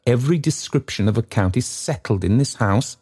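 A man voicing a pompous, stately old gentleman of self-importance in a storybook reading, halting and floundering as he speaks. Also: medium pitch, expressive, breathy edge, pitched above the performer's own voice. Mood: pompous, self-important.

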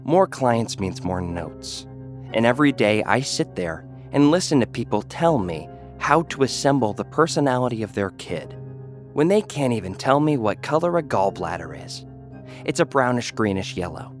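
A man's voice: medium pitch, very animated, moderate pace, soft background music. A man narrating a scripted audio drama in first person as an arrogant scientist, condescending and dryly sarcastic. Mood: smug. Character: arrogant male scientist who builds people. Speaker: narrator and lead character.

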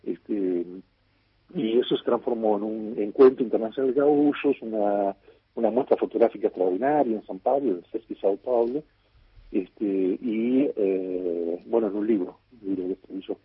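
A man's voice: on the low side, moderate pace, slightly rough, dry recording. A man with an Argentine accent, a writer answering questions on the radio, rambling with many fillers and restarts. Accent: Argentine Spanish. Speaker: guest writer. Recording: tinny phone-like line.

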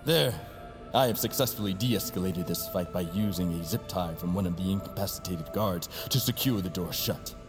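An actor playing an earnest, pedantic peacekeeper in an audio drama, speaking formally and deadpan. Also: male voice, sits low, moderate pace. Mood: earnest, satisfied. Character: earnest, pedantic male pacifist fighter seeking calm. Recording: music underneath.

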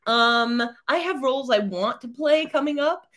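A middle-aged woman, a theatre performer, chatting as a podcast guest in a rambling, animated way with many fillers and laughs. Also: high-pitched, moderate pace. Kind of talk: casual interview chat.

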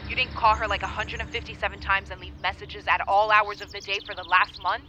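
A woman's voice: medium pitch, little pitch movement, fast. A woman playing a guarded, confrontational granddaughter in a naturalistic audio drama, blunt and suspicious, heard through a phone filter. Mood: accusing. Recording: dull phone sound, loud music behind.

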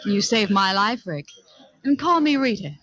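An actress playing a haughty, flirtatious wealthy wife in a theatrical 1940s radio drama style. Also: female voice, medium pitch, expressive, moderate pace, natural voice. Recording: dull old radio recording, crowd behind.

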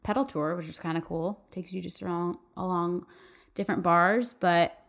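A woman's voice: on the low side, fairly even intonation, moderate pace. A woman, chatting casually in a group and laughing often, trailing off with fillers. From Texas.

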